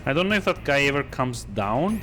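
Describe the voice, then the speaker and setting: A man giving casual, halting live commentary on a video game, sounding sleepy and bored. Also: mid-range voice, big pitch swings, pushed and bright. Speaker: streamer playing a video game. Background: game music.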